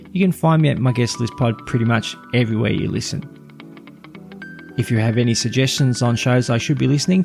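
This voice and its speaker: A man's voice: mid-range voice, gravelly, a little mellow. A man hosting a podcast, reading a scripted review in a presenter's style.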